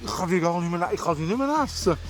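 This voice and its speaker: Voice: mid-range voice, lively intonation, fast, noisy background, close to an everyday voice. An actor voicing an exhausted, hungry, whining young boy with a Swiss German accent in a children's radio play. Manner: weary, plaintive.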